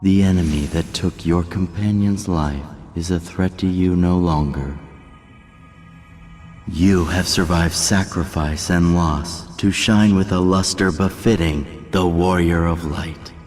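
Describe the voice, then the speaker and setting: Slow, grandiose herald of destiny. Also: male voice, low-pitched, steady tone. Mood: solemn, ominous. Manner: theatrical, archaic, portentous. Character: solemn male herald of destiny, grandiose and commanding. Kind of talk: video game cutscene acting. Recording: loud music behind.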